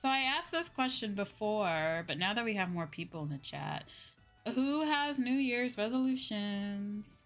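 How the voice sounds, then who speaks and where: A woman's voice: mid-range voice, expressive, unhurried, fairly bright tone. A woman hosting a livestream, chatting casually in a hesitant way and often repeating her phrases. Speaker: livestream host. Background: background music.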